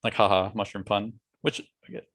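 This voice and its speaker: A man's voice: fairly low pitch, flat delivery, fairly quick, a bit bright. A man co-hosting a casual talk show, chatting in an opinionated, wry way. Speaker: podcast co-host. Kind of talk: casual banter about movies.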